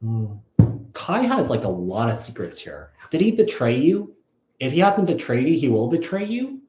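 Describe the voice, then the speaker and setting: A man giving a casual live tarot reading, thinking aloud in a halting, repetitive way. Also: mid-range voice, sing-song, a little mellow, soft background music. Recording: echoey, roomy sound.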